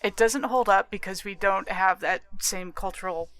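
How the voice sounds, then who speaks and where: A woman's voice: medium pitch, normal speed, fairly bright tone, no echo. A woman co-hosting a podcast in casual conversation about film. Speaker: podcast co-host.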